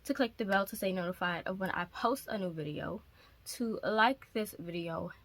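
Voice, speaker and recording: A young woman hosting her faith vlog in a relaxed, rambling way, sounding nasal from a cold coming on. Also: medium pitch, moderate pace, dry recording. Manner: relaxed, rambling, many ums. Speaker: YouTube ministry host. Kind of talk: casual chatty vlog.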